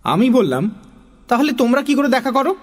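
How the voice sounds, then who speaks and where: A man narrating a dramatised children's story in an expressive way, acting out puzzled lines. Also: very high-pitched, fairly quick.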